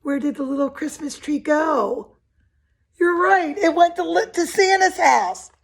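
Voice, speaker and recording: A woman's voice: sits high, unhurried, vocal fry. A woman reading a picture book aloud expressively to young children, with simple asides and questions to her listeners.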